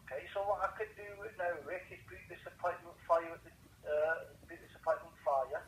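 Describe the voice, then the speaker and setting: A man's voice: on the high side, fast talker, fairly bright tone. A man working as a helpline call handler, heard over a telephone speaker, politely and courteously explaining procedure and addressing the caller as sir. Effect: telephone through a speaker. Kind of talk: polite customer service phone talk. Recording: echoey, noisy phone call on speaker.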